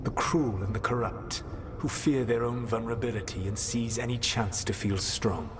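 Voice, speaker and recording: An actor playing an ancient elven god in a video game, speaking in a grave, formal and measured way with a learned air. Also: male voice, medium pitch, noisy background, natural voice. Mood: grave counsel. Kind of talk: dramatic video game dialogue.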